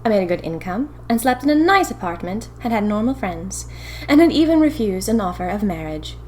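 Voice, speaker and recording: A woman's voice: mid-range voice, sing-song, brisk, darkish tone, a little hiss or hum, close to an everyday voice. A woman playing a young 1920s typist who confides eerie happenings to her diary in a chatty, dramatic, wry way. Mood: wistful, wry. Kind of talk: first-person audio fiction diary narration.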